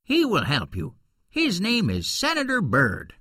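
A woman voicing a helpful man, a family friend, who speaks calmly and reassuringly in a storybook reading. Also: lowish voice, measured pace, no echo, studio quality, exaggerated voice.

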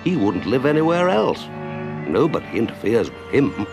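A man voicing a jolly, brisk, hearty water rat in a children's story, exclamatory and confident. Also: mid-range voice, sing-song, creaky, music in the background. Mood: matter-of-fact.

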